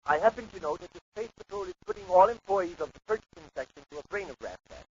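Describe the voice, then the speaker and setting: Hurried, higher-voiced furtive American tipster. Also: male voice, animated. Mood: secretive, urgent. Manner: hurried, secretive. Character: furtive, nervous anonymous male tipster on a call. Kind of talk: 1950s radio serial acting. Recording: muffled, noisy 1950s radio recording.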